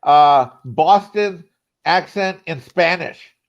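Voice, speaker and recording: A man's voice: on the high side, slow pace, lo-fi sound. A man from Northern California, co-hosting a casual livestream over a video call, full of joking, teasing banter. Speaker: co-host.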